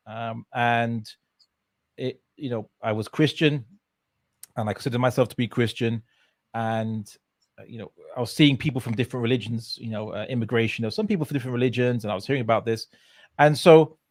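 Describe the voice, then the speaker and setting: A man's voice: mid-range voice, darkish tone, no echo. Hesitant man telling his story, dry sound. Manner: hesitant, many fillers and restarts, laughs often. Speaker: interview guest. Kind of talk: casual conversational storytelling.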